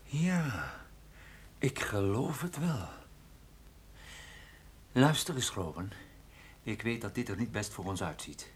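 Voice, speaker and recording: An actor playing a weary, urgent, wry private detective and narrator in a radio play. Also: male voice, fairly low pitch, lively intonation, slow pace, long pauses, slight background noise. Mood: urgent pleading. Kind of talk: radio play acting with first-person narration.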